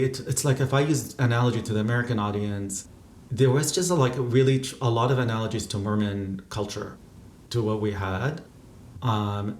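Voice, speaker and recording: A man in his thirties from Qatar speaks in a lowish voice, pausing often and restarting his sentences. The sound is roomy, with faint ambience behind him. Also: a little mellow, slight background noise. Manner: thoughtful, halting, many fillers and restarts. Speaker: interview guest, physician and activist. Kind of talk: reflective interview answers.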